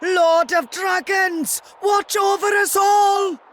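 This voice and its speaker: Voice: extremely high pitch, fairly even intonation, takes it slowly, exaggerated voice. An actor playing a fanatical religious follower in a video game, chanting fervently. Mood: fanatical fervor. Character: fervent, indignant religious zealot in a crowd. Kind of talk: dramatic video game acting. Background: loud music.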